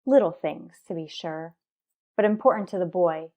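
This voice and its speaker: Resolute, wronged wife for a daytime radio soap, female actor. Lowish pitch, earnest and restrained delivery. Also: normal speed, phone-quality sound, natural voice. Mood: firm, defiant. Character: resolute, aggrieved wife and mother in troubled marriage.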